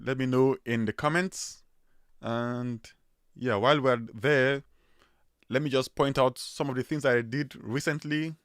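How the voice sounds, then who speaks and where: A man's voice: mid-range voice, a bit bright, no room sound. Nigerian-accented man hosting a dry livestream. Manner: chatty, loose, with many fillers and restarts. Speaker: livestream host. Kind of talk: casual livestream chat.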